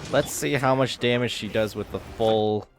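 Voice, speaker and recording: A man's voice: mid-range voice, normal speed. A man giving casual commentary over a video game as the host of a gaming video. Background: game music.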